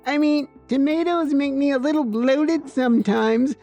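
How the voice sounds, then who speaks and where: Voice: high-pitched, steady tone, a little slow, mellow, faint music behind, voice pushed up. A storyteller voicing an entitled, attention-seeking woman diner in a whiny, defensive, showy way.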